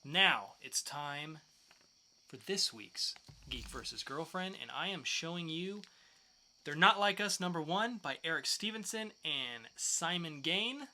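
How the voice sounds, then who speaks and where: A man's voice: a little high, animated, measured pace, pushed and bright, slight background noise. A man co-hosting a podcast in casual banter, putting questions and moving the show along. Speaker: podcast co-host reviewing comics.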